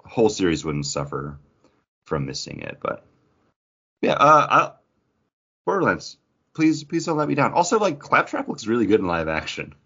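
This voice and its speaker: Deep-voiced man chatting animatedly on a podcast. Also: wildly swooping pitch, moderate pace, long pauses, bright, forceful tone, breathy edge, dull audio. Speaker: podcast co-host. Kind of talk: casual chat.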